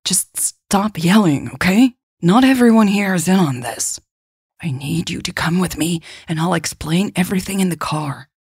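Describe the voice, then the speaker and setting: A woman narrator acts a kind but impatient woman in an audio romance. The low, gravelly voice pleads and insists with urgency. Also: lively intonation, normal speed, a little mellow, no room sound, studio quality, heavily acted. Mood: impatient, pleading.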